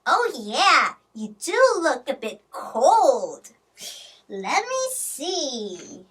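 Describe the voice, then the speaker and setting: Very high-pitched, animated woman teaching kids. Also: extremely slow, very noisy. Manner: sing-song, repeats words for learners. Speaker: children's storytime host and English teacher. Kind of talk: playful children's English lesson, exaggerated storytelling.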